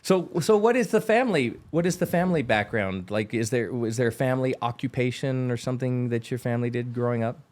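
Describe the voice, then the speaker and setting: A man's voice: medium pitch, animated, fast, hardly any pauses, a little mellow. A man hosting a podcast, asking eager, wordy questions that often restart mid-sentence. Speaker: podcast host. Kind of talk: casual interview chat.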